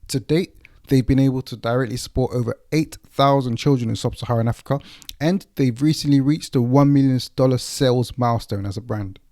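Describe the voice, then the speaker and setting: Casting: male podcast host, London accent, soft mellow tone, informal and chatty delivery. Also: medium pitch, fairly quick. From East London, England. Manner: informal, conversational, uses slang. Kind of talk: casual interview chat and podcast introduction.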